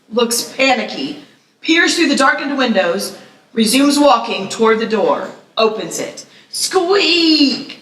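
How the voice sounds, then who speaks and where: High-voiced woman narrating, echoey room. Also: pushed and bright, distant mic. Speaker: screenplay narrator. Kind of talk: scripted stage-direction reading at live table read. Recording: echoey room, slightly off the mic.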